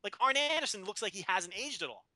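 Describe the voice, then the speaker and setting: A man in his thirties with a high, piercingly bright voice co-hosts a casual podcast. He jokes and laughs his way through the chat, cutting in over his partner. The sound is dry and close, with no room echo. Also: very animated, fast. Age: 30s. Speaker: podcast co-host.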